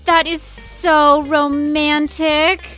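A woman's voice: sits high, little pitch movement, very slow, fairly bright tone, faint music behind, full character voice. A woman playing a sociable, gushing suburban neighbor in an audio drama, drawing out her words.

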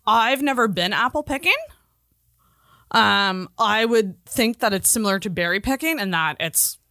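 A woman's voice: medium pitch, animated, normal speed, bright, forceful tone, no echo, studio quality. A woman co-hosting a podcast in playful, chatty, comedic conversation. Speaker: podcast co-host. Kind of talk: casual comedic chat.

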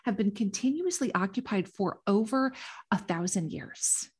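A woman's voice: mid-range voice, expressive, normal speed, darkish tone, breathy edge. A woman hosting a podcast, telling a history story in an enthusiastic, gushing, conversational way, with lots of affirming murmurs.

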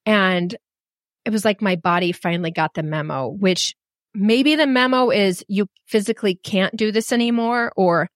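A middle-aged woman telling a personal story in a frank, animated and self-deprecating way. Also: mid-range voice, moderate pace, no room sound, studio quality. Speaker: storyteller guest.

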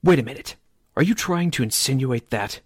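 An actor playing a defensive, irritable show producer in 1940s radio drama style. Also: male voice, mid-range voice, expressive, moderate pace, breathy edge. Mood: offended.

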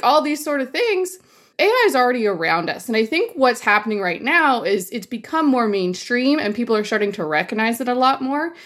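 A woman's voice: mid-range voice, a bit bright. A woman with a mid-range voice, speaking on a panel. Her delivery is casual and chatty, full of filler words and light laughs. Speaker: panel guest. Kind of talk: panel discussion.

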